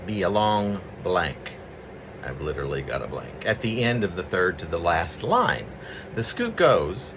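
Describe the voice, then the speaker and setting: Deep-voiced man, very animated intonation. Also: background noise. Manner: rambling, halting, conversational asides. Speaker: poet reading his own work. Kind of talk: casual preamble and poetry reading.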